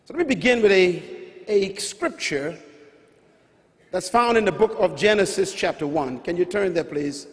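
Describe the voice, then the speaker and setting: A man's voice: high voice, lively intonation, moderate pace. Middle-aged Bahamian man, emphatic preacher, handheld mic in a big reverberant arena. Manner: emphatic, repetitive teaching with rising calls. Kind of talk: sermon teaching. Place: large arena stage before a big audience. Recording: large hall with long reverb.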